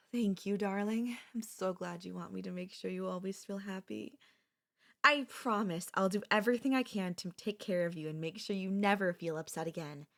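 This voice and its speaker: A young woman playing an obsessive, possessive kidnapper and childhood friend in an ASMR audio roleplay, speaking in a soft, intimate, pleading manner. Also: mid-range voice, normal speed, vocal fry. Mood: tender, relieved.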